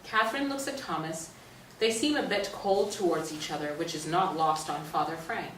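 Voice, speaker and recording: Lowish-voiced woman narrator in an echoey room. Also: normal speed, fairly bright tone. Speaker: narrator of a play reading. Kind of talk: scripted narration of stage directions. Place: echoey indoor stage or hall. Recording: echoey room, distant mic, faint noise.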